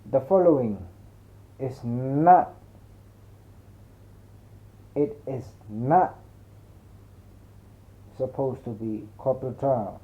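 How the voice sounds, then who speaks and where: A man narrating an audiobook in a dramatic, conversational first-person style with slangy, emphatic delivery. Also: mid-range voice. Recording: muffled narrow phone-like audio.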